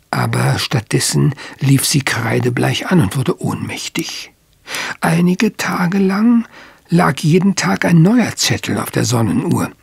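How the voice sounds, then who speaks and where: An actor playing an anxious English country squire in an audio drama, polite and hesitant, husky and gravelly. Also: male voice, fairly high pitch, no room sound, heavily acted. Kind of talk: German audio drama acting.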